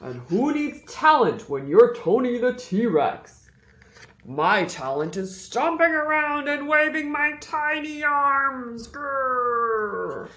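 A woman's voice: sits high, sing-song, slow, bright, forceful tone, echoey room, voice pushed up, full character voice. A woman voicing a boastful, stomping male T-rex with a brash, growling delivery in a storybook.

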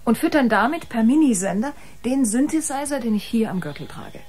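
A woman's voice: fairly high pitch, animated, fairly quick, a little mellow, close to an everyday voice. A woman playing a proud, intense musician aboard a spaceship in a radio play, speaking emphatically. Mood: explaining proudly. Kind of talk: German radio play acting.

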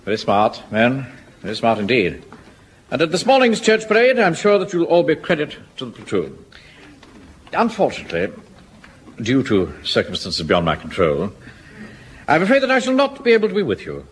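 A pompous, indignant captain whose pitch swings widely as he blusters. He talks down to everyone around him. Faint hiss sits under the old recording. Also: huge pitch swings, normal speed, long pauses. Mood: formal. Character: pompous middle-aged captain, fussy and indignant. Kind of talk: 1970s radio sitcom acting.

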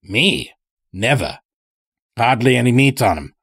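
A man's voice: mid-range voice, expressive, measured pace, fairly bright tone, slightly rough, no echo, studio quality, heavily acted. A man voicing a folksy, taunting old elder in an audio story, chatty and needling with rustic phrasing. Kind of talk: dramatic audio story character.

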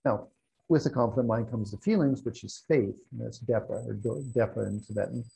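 Older male Buddhist teacher, gravelly and husky, explains patiently with asides, lapel mic at home. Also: mid-range voice, a little fast, warm tone, low-quality recording. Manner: explanatory, restarts and asides, hands gesturing. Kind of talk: teaching an online class. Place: room at home with wall hangings.

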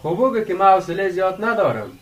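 Man acting an impatient high-voiced village man. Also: very animated. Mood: teasing, impatient. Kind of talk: radio drama acting.

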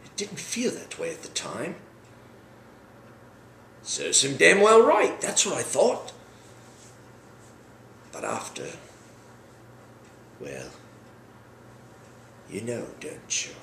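A remorseful old general, acted by a man, broods through a dramatic stage monologue. His speech is slow and halting, swinging widely between murmur and outburst. The room is echoey, with faint noise. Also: a little high, wildly swooping pitch, vocal fry, fairly bright tone, heavily acted. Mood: brooding, defiant. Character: remorseful old British general, wistful, bitter, confessing.

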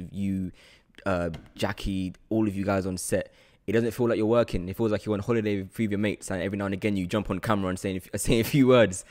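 A man's voice: sits low, darkish tone. A man hosting a casual podcast, effusive and informal, repeating phrases for emphasis into a close microphone. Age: 20s. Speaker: podcast host. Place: room indoors with large window. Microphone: podcast microphone on boom arm, close.

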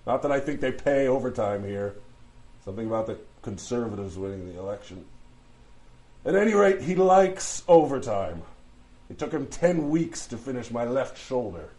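A man's voice: mid-range voice, expressive, moderate pace, a little mellow, natural voice, poor audio. A man playing a long-suffering lawyer in hell for a comic fantasy audio drama, dry and sarcastic. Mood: sardonic, weary. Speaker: voice actor.

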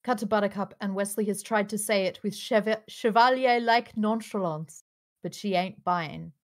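A woman's voice: medium pitch, moderate pace. A woman reading stage directions aloud as narrator in a live script table read over a video call.

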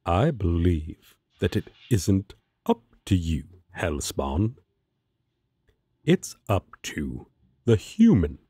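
A man's voice: deep voice, very animated, slow, warm tone, slightly rough, no echo, exaggerated voice. A man playing a haughty, protective angel in an audio drama, speaking in a formal, wary, disdainful manner. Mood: indignant, haughty. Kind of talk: roleplay audio drama acting.

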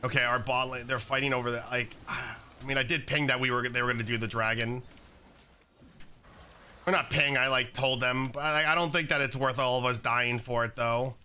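A young man with a slightly raspy voice from being sick, chatting casually while commenting on his own gameplay at a desk setup. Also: medium pitch, fairly even intonation, normal speed, sharp tone, slight background noise. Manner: casual, chatty, many fillers like "like" and "um". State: slightly raspy, recovering from illness. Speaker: gaming YouTuber. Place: home gaming setup, indoors.

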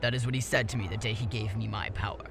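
A man's voice: lowish voice, fairly even intonation, quick pace, creaky, a bit bright, slight background noise. A man voicing a gung-ho, bloodthirsty young volleyball player in a theatrical, intense cartoon parody style. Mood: zealous, menacing. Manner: intense, theatrical, menacingly cheerful.

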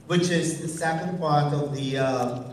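A man's voice: on the high side, a little slow, a little hiss or hum. A man with a Brazilian accent, a Portuguese speaker, hosting a teacher training event on stage, talking with many fillers and restarts. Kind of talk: on-stage talk. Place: large hall, on stage. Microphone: far audience camera. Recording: echoey hall from far off.

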